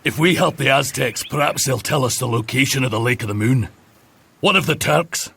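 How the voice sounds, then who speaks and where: A man's voice: mid-range voice, normal speed, vocal fry, a bit bright, breathy edge. A man playing a grave, resolute knight commander in a video game cutscene. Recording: music behind the voice.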